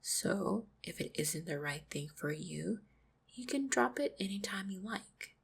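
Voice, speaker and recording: A woman voicing an affectionate, supportive orc fiancée in an intimate roleplay audio, speaking tenderly and reassuringly with gentle teasing, often close to a whisper. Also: medium pitch, lively intonation, unhurried, full character voice. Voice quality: breathy, husky, often near-whispered.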